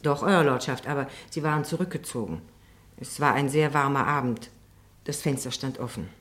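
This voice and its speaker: Nervous female witness, low-pitched, timid, respectful, radio play. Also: moderate pace. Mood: cautious, respectful. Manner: hesitant, deferential. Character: timid, anxious woman witness testifying in court. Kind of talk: German radio courtroom drama acting.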